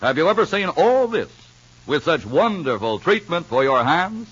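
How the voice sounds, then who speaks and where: A male commercial announcer from 1950s radio with a lightly husky, fairly high voice. His sales delivery is emphatic and animated. The recording is dull and old. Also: normal speed. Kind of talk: 1950s radio advertising pitch. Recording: dull vintage radio broadcast.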